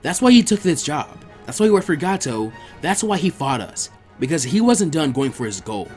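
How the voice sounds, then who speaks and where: A man performs a brash twelve-year-old ninja boy in a story reading. The delivery is higher-pitched, informal and animated. He pushes his point with earnest, stubborn encouragement. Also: normal speed, faint music behind. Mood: encouraging, determined. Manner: informal, emphatic, urging.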